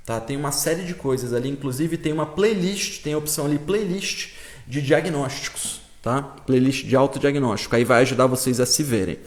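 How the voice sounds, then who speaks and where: A man's voice: mid-range voice, brisk. A man with a Brazilian Portuguese accent, teaching a live-stream lesson and answering viewers in a casual, explaining way with frequent check-ins. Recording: roomy echo.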